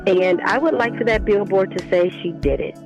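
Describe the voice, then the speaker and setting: A woman's voice: mid-range voice, moderate pace, dull audio, over music. A woman giving reflective interview answers as a guest author, speaking in an earnest, emphatic and devout way.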